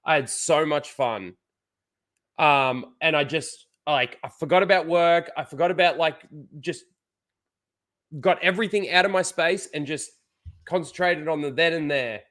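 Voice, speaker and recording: A man hosts a casual livestream while fighting a head cold, his nose blocked. His voice sits a little high, and he rambles with constant "like"s and false starts, talking into a desk mic. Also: fairly bright tone. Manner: rambling, full of "like" and restarts. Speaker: livestream host.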